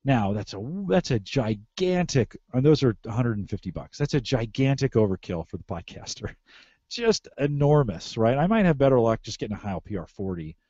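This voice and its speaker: A man's voice: medium pitch, big pitch swings, normal speed, warm tone, no echo, dull audio. A middle-aged American man hosting a casual tech podcast, repeating phrases for emphasis. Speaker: podcast host. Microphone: close podcast microphone through mixer.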